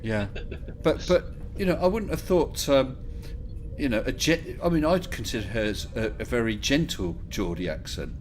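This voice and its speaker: A man's voice: medium pitch, lively intonation, normal speed, a little hiss or hum. A man hosting a live call-in stream, chatting casually and joking a lot. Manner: jokey, chatty, laughs often. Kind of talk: casual chat.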